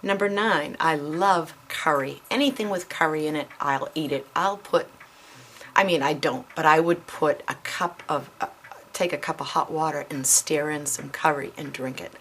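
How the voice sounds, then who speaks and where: A woman's voice: sits low, normal speed, a bit bright, slight background noise. A woman in her fifties chatting casually to camera, with frequent ums and self-corrections. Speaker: vlogger.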